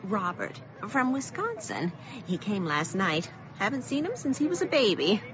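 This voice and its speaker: A woman's voice: mid-range voice, huge pitch swings, normal speed. A woman playing a cheery, fussy older small-town lady in a radio drama, chatty and theatrical. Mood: chirpy. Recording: dull lo-fi audio, noisy background ambience.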